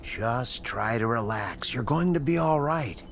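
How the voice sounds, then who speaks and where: An actor playing a calm, self-satisfied American scientist, soothing and a little condescending, in a radio drama. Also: male voice, medium pitch, a little slow, gravelly, slight background noise. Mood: calm, pleased.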